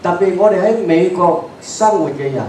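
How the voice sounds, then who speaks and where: A man from Hong Kong with a Hong Kong Cantonese accent, preaching a bilingual sermon in short emphatic phrases and pausing for his interpreter. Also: sits high, dark tone. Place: church hall. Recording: very echoey hall, distant mic, faint hiss.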